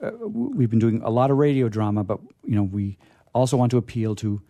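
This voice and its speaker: A man hosts a casual radio chat in a soft, mellow voice at a middle pitch. He is whimsical and likes to repeat himself. The sound is close and dry. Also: lively intonation, a little fast, gravelly, no room sound, lo-fi sound. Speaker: radio show host. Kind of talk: casual chat, promoting book events.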